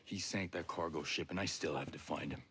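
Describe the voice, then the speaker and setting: An actor playing a stern, determined soldier in a video game, speaking in a terse, serious way. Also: male voice, very deep voice, fairly quick, pushed and bright, a little hiss or hum, exaggerated voice.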